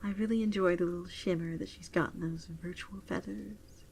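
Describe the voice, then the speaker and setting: Soft whispery woman acting as virtual pet shop clerk. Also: fairly low pitch, fairly even intonation, vocal fry, slight background noise. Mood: fond. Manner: soft, often whispery, unhurried and attentive. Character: gentle, doting female adoption clerk for virtual pets. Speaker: ASMR roleplay performer. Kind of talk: soft-spoken ASMR roleplay.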